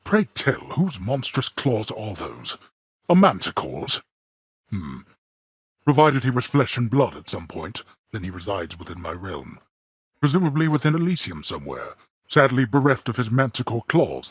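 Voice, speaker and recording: An actor playing a grim, imperious god of the dead and stern father in a video game, with a husky, gravelly voice and formal, archaic, menacing delivery. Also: lowish voice, sing-song, moderate pace, no echo. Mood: stern menace. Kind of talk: theatrical video game villain acting.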